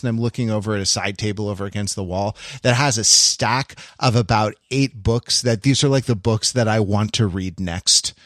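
A man's voice: lowish voice, no room sound. Rambling man chatting, close dry sound. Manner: rambling, halting, circles back to rephrase. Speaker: podcast co-host. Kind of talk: casual conversational chat.

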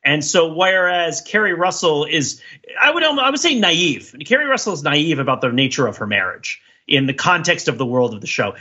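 A man with a husky voice hosting a podcast, casually analyzing things in a discursive way and often checking in with a "right?". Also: fairly high pitch, pushed and bright. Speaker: podcast host. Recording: slightly dull, lo-fi sound.

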